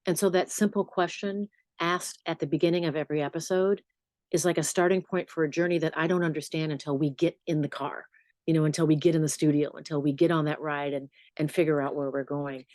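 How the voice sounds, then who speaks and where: A middle-aged woman with a notably low, deep voice talks in a relaxed, storytelling way, dropping into lively asides now and then. She sounds close and dry, as if right at a studio microphone. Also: a little fast, dry recording. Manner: warm storytelling, animated asides. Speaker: journalist and podcast host. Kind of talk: relaxed interview answers.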